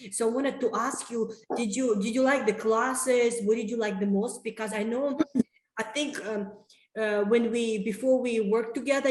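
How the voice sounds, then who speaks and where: A woman with leads the conversation as a health coach, praising progress and asking questions with small hesitations. Her voice sits a little high. The call sounds echoey. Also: darkish tone. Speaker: health coach hosting a client interview. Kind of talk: conversational interview.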